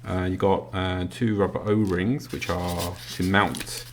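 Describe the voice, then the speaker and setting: A man's voice: sits low, little pitch movement, unhurried. A man giving a casual, unscripted product review in a hesitant, matter-of-fact way, indoors at a table. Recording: noisy home recording with clicks.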